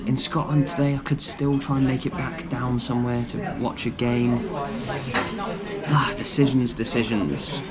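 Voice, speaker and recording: A man's voice: medium pitch, level tone, a little slow. A man presenting a casual football vlog, chatting to camera in an enthusiastic way. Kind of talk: casual travel vlog chat. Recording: noisy outdoor recording with wind or traffic.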